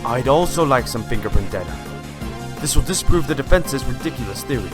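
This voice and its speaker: A man's voice: medium pitch, expressive, fairly quick, loud music behind the voice, lightly acted. A man voicing a stern, skeptical buffalo police chief, speaking formally as a prosecutor in an amateur audio drama. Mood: stern, dismissive.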